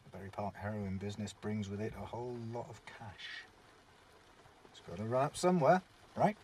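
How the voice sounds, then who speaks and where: Seasoned surveillance officer, radio drama, male actor, British. Slightly low register, measured, wry, world-weary mentor. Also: big pitch swings, darkish tone, a little hiss or hum. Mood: explanatory.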